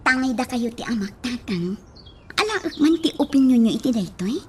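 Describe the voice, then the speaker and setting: An actress with a Filipino accent playing a hesitant, worried young daughter in a radio drama. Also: female voice, fairly high pitch, lively intonation, a little slow, slight background noise. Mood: shy.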